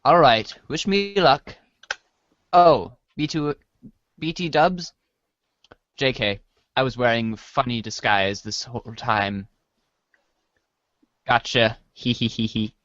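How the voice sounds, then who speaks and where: A man's voice: medium pitch, takes it slowly, pauses often, a bit bright, no echo. A man playing a goofy, playful and defensive teenage boy in a casual dramatized chat reading. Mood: playful, teasing. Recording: dull, roomless lo-fi audio.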